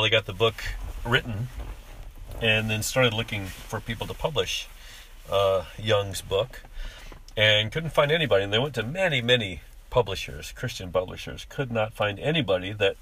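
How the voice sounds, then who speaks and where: Male podcast host, very low voice, stuffy-nosed, rambling aloud from behind the wheel. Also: a bit bright, husky. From living in Japan. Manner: halting, many "uh" fillers and restarts. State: congested from allergies. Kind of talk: off-the-cuff monologue while driving. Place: in a car.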